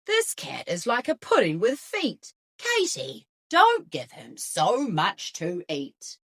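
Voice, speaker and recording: A woman voicing a sensible dad in a children's storybook, gently scolding and explaining in a measured way. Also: medium pitch, huge pitch swings, breathy edge, exaggerated voice. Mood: lecturing.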